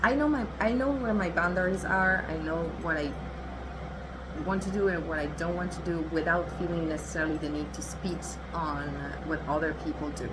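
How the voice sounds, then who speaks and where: A woman's voice: on the low side, normal speed, heavy background noise. A young adult woman whose first language is Italian, doing a chatty, assertive tarot reading and laughing often. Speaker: tarot reader.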